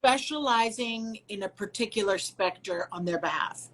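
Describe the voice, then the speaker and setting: A woman hosting a casual interview over a live video call, curious and quick to ask follow-up questions. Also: medium pitch, a bit bright, rough recording. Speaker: host, interviewer.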